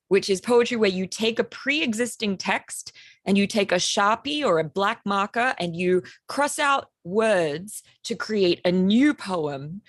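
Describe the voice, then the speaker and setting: A woman's voice: mid-range voice, no room sound. A woman in her forties with an Australian accent, talking chattily as a composer on a panel over a video call, with many ums and restarts.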